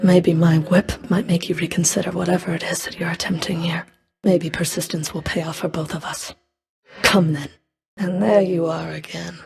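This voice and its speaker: A woman's voice: on the low side, steady tone, normal speed, creaky, a little mellow. A woman playing a stern, sardonic underworld Fury in a video game, speaking in a cool, dry, clipped way. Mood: cold, threatening. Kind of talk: video game character voice acting.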